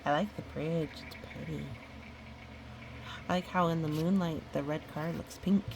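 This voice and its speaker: A woman reading a children's picture book aloud, gently, breaking off for musing, chatty asides to a young listener. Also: low-pitched, fairly even intonation, normal speed, gravelly, noisy background. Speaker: storybook reader.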